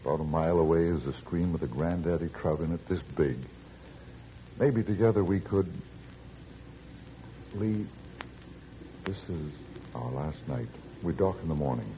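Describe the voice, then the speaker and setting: An actor playing an earnest, lovelorn navy skipper in old-time radio drama, deliberate and halting. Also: male voice, deep voice, dark tone, natural voice. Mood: tender, wooing. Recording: muffled, noisy old radio recording.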